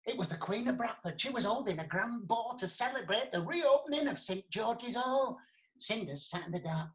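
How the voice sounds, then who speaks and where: Bloke telling a panto story, really dramatic, voice swoops all over, chats to the listener. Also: male voice, high voice, sharp tone, low-quality recording. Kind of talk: theatrical comic pantomime storytelling.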